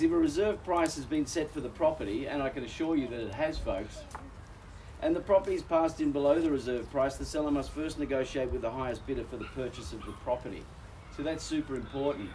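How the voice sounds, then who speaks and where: Genial Australian auctioneer, slightly weakened voice. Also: male voice, medium pitch, darkish tone, far from the mic, a little hiss or hum, low-quality recording. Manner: genial, folksy, addresses crowd as folks. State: voice weakened by a chest infection. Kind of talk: live outdoor auction address. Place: outdoors at a house auction.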